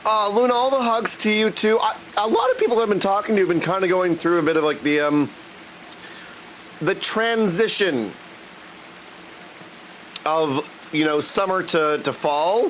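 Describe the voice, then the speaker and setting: A man's voice: high-pitched, expressive, moderate pace, pauses often, a bit bright, slight background noise, low-quality recording. A man chatting casually and goofily with viewers in slangy language while livestreaming a game. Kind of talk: casual livestream chat over gameplay. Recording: music behind the voice. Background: game music.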